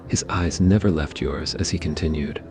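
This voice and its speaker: Extremely deep-voiced man narrating romance. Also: moderate pace, vocal fry. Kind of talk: scripted romantic ASMR story narration.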